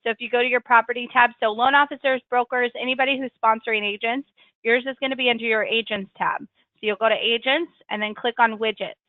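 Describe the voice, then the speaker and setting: A woman leads a weekly software tutorial in a friendly, rambling way, full of ums and quick self-corrections. Her voice is slightly raspy as she gets over a cold. She comes through a tinny, phone-like connection with no room sound at all. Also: fairly high pitch, steady tone, dry recording. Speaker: software trainer hosting a weekly webinar. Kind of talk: screen-share tutorial walkthrough. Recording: tinny, dry call-line audio.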